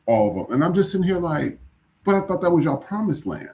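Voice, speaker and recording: A man's voice: a little high, sing-song, fast talker, a little mellow, slight background noise. A man from the United States co-hosting a news podcast, talking loosely and indignantly with some profanity. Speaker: podcast co-host. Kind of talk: casual news commentary.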